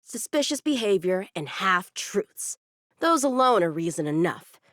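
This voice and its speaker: A woman's voice: mid-range voice, big pitch swings, measured pace, a bit bright, dry recording, clean studio sound. An actress voicing an earnest, cocky young boy swordsman in a video game, speaking formally and confidently. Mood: smug, accusing. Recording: music behind the voice.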